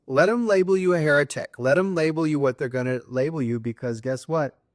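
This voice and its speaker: A man's voice: on the high side, brisk, no echo, clean studio sound. A man co-hosting a spiritual talk show, speaking earnestly in a preachy way. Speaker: co-host.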